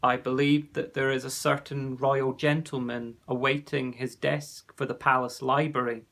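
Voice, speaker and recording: A man voicing a wise, solemn male warrior who speaks in slow, measured pronouncements. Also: medium pitch, little pitch movement, gravelly, fairly bright tone.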